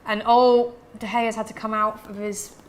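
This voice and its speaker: She is an English woman with a fairly high-pitched voice, hosting a live football watchalong. She moves between casual chat and loose match commentary, with some noise audible behind her. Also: level tone, normal speed.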